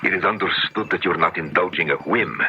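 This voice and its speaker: A man's voice: sits low, fairly quick, slight background noise. An actor playing a smugly menacing male occult writer in a 1970s radio drama, pompous and mock-courteous in manner. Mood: grave, smug. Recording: dull vintage radio broadcast.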